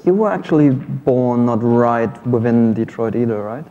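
A man with a German accent, a native German speaker, interviewing a guest on stage with measured questions and dry humor. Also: mid-range voice, lively intonation, mellow, dull audio. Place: hall with an audience. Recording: long hall reverb.

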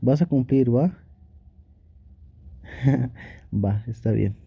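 A man's voice: medium pitch, drawn-out words, gravelly, mellow, slightly rough, muffled recording, full character voice. A young man playing an affectionate, teasing, pouty boyfriend in an intimate ASMR roleplay, talking playfully and pleadingly with sweet pet names. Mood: tender, adoring. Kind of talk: Spanish ASMR boyfriend roleplay, intimate one-sided dialogue.

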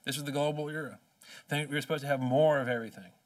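A man in his forties giving an impassioned keynote speech at a podium, emphatic and rhetorical, with slow deliberate phrases. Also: a little high, steady tone. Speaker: keynote speaker. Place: large conference hall, at a podium. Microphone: podium microphone. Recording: distant conference hall sound.

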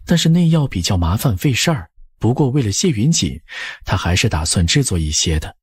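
A man's voice: lowish voice, very animated, brisk, slightly rough, dry recording. A man narrating a historical novel as a scripted audiobook.

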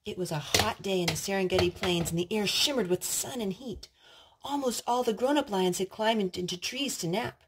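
Husky-voiced woman reading to small children. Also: medium pitch. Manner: simple, instructive child-directed speech with questions. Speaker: parent reading to and singing with young children. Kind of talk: storytime reading and sing-song talk to small children. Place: living room at home, indoors.

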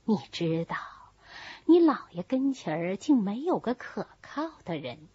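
A woman reading a novel voices an older, scheming wife of a wealthy master, speaking in a soft, coaxing manner. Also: medium pitch, very animated, measured pace, slightly rough, dull audio. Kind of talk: storybook character reading.

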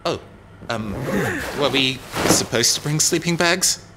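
An actor playing an eager, defensive young tech whiz pilot in an audio drama, cheeky and a little hesitant. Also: male voice, fairly high pitch, a little slow, very noisy, lightly acted. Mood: eager, awkward.

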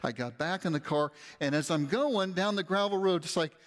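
A middle-aged male pastor with a fairly high voice tells a story from a church stage, conversational and animated. His words ring with the long reverb of a large hall. He sounds like he is speaking into a body mic while walking and gesturing. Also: normal speed. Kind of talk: storytelling sermon.

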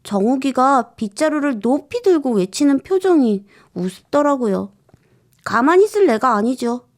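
A young schoolboy, played by a woman, tells his own story with a sense of grievance. The voice is high and very animated, plaintive and expressive in storybook style. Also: moderate pace. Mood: aggrieved storytelling.

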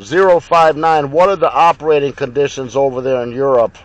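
A man from Ohio, a mobile radio operator in his car, talking in crisp radio procedure and spelling out call signs. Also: mid-range voice, steady tone, fairly quick, no room sound, lo-fi sound. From Ohio, USA. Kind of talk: radio contact exchange. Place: inside a car. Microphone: handheld radio mic.

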